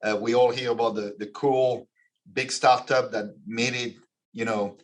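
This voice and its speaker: Guest, forties, man from Belgium, measured, restarts often. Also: medium pitch, steady tone. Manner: measured, with frequent restarts and fillers. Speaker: guest, finance executive. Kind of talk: podcast interview answers.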